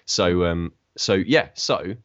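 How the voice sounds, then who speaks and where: A man co-hosting a casual podcast, rambling with many pauses and restarts as he riffs on ideas. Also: low-pitched, no echo, highs cut off. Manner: rambling, hesitant, lots of ums and restarts. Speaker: podcast co-host. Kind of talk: casual chat.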